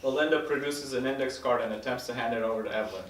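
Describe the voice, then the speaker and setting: A man's voice: medium pitch, racing, fairly bright tone, a little hiss or hum. A man reading stage directions as scripted narration at a table read of a screenplay. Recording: roomy echo, distant microphone.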